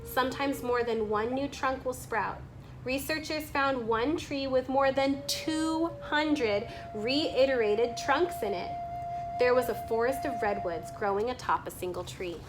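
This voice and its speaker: A woman with a slightly high voice narrates a nonfiction nature book. She reads very slowly and deliberately, phrase by phrase, as a read-aloud. Music plays clearly behind her. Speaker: reader reading a children's nonfiction book aloud.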